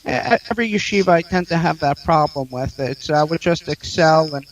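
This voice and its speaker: A man in his thirties with a fairly high voice. He talks haltingly, stuttering and filling pauses as he spins mock-boastful, deadpan stories about himself in an interview. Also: rough recording. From Queens, New York. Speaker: comedian guest.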